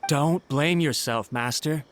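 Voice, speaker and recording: A man's voice: fairly high pitch, slow pace. A man playing a loyal, deferential servant in a video game, speaking eagerly to his betters. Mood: supportive. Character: loyal, deferential male servant to a clan master.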